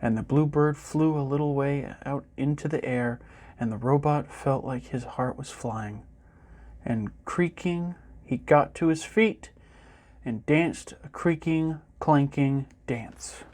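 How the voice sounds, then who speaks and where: A man reading a children's picture book aloud in an expressive storytelling manner. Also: medium pitch, slow. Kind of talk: children's story time narration.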